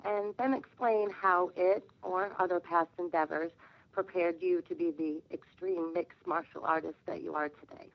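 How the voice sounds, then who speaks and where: Lowish-voiced woman on a dull phone line. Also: fairly even intonation, normal speed, gravelly. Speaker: radio sports reporter. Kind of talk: asking a question on press call. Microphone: telephone. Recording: dull conference call line.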